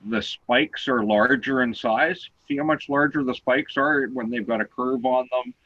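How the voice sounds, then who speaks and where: A man with a loud voice, co-hosting an online talk show and patiently explaining things step by step. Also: medium pitch, measured pace, highs cut off. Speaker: co-host explaining seismograms.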